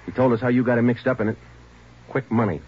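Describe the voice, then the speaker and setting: An actor playing a firm, businesslike police detective in a 1940s radio drama, questioning in a clipped, matter-of-fact way. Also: male voice, mid-range voice, fast, husky, lightly acted. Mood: firm accusing. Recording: noisy, muffled 1940s radio recording.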